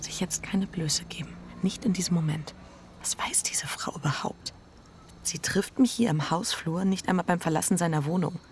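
An actress playing an anxious, guilt-ridden married woman in a German radio drama, speaking a tense, breathy inner monologue. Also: on the low side, normal speed, in a whisper, close to an everyday voice. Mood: anxious, defensive. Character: anxious, guilt-ridden bourgeois adulterous wife. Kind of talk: German radio drama acting with first-person narration.

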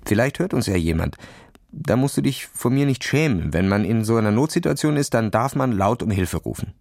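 Man voicing a cocky schoolboy with gravelly edge. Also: fairly low pitch, expressive, a little fast, darkish tone, no room sound, studio quality. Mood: condescending. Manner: boastful, taunting. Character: cocky, impatient, daredevil schoolboy acting cool. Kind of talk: dramatized children's audio story.

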